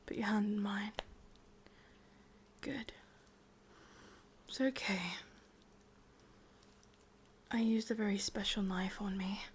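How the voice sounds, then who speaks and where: A woman with an English accent playing a possessive, lovesick witch in a whispered ASMR roleplay, coaxing softly and intimately, close to the microphone. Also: medium pitch, steady tone, takes it slowly, long pauses, a little hiss or hum, natural voice. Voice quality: breathy, whispery.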